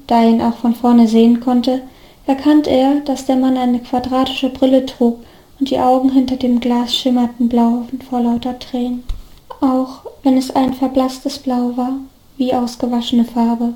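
High female voice, soft dark timbre, story narration, roomy echo.

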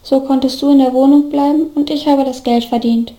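A woman voicing a devoted, earnest young man in storybook dialogue, gently reassuring his best friend. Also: sits high, fairly even intonation, brisk, echoey room, lightly acted. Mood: wistful.

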